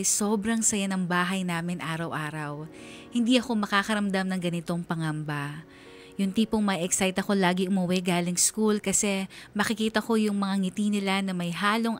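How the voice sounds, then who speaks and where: A woman's voice: medium pitch, lightly acted. Woman as young filipino girl narrating, sad n wistful, radio drama, music underneath. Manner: wistful, confiding. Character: sad young daughter narrating her family's hidden troubles.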